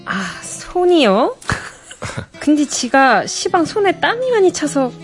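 A woman's voice: high-pitched, big pitch swings, slow pace, faint music behind, heavily acted. A woman playing a coy, reluctant new bride in a radio skit, speaking hesitantly in a put-on Jeolla dialect. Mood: bashful, hesitant. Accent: exaggerated, unconvincing southwestern Korean (Jeolla) dialect.

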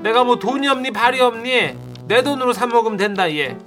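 A woman's voice: a little high, lively intonation, fairly quick, over music, lightly acted. A woman playing a proud elderly mother-in-law and grandmother in a radio skit, sighing and passive-aggressive. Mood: wounded sarcasm. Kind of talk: acted radio letter dramatization.

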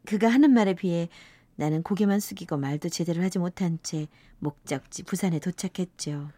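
A woman's voice: fairly low pitch, moderate pace, mellow, dry recording, lightly acted. A woman playing a wistful narrator in her fifties, telling a sentimental first-love story for a radio drama. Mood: wistful, reflective. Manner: sentimental, reflective.

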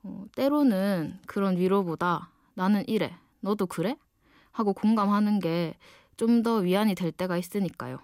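A woman's voice: medium pitch, normal speed, darkish tone, dry recording, studio quality. A twenty-year-old woman with a Gyeongsang accent from Ulsan, hosting a late-night radio show as a candid, reflective personal monologue. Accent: Gyeongsang (Ulsan) Korean. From Ulsan, South Korea. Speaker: guest late-night radio DJ, design student.

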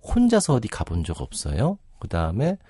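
A man's voice: lowish voice, huge pitch swings, normal speed, mellow, husky, no echo, clean studio sound. A middle-aged man speaking as a psychiatrist guest in a conversational radio interview, reflective and explanatory, often telling anecdotes. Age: 40s or older.

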